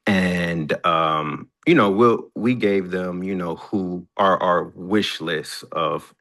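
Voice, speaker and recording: Deep, creaky-voiced man chatting casually. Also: slow pace, fairly bright tone. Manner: hesitant, searching for details, often repeating words. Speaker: filmmaker and screenwriter. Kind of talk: casual question-and-answer chat.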